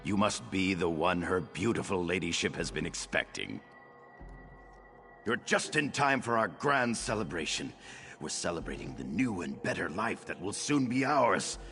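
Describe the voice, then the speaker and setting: A man's voice: sits low, very animated, moderate pace, gravelly, sharp tone, soft background music, exaggerated voice. A man playing a rapturous, fawning nobleman in a video game, effusive, theatrical and lofty in his delivery. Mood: giddy welcome.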